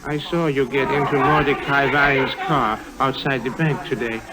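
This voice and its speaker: An actor playing a coolly menacing bank teller and henchman in an old radio drama, formal and measured. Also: medium pitch, steady tone, over music, close to an everyday voice, rough recording. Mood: quietly threatening. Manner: formal, measured, quietly threatening. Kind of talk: 1950s radio drama acting. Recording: old 1950s radio recording.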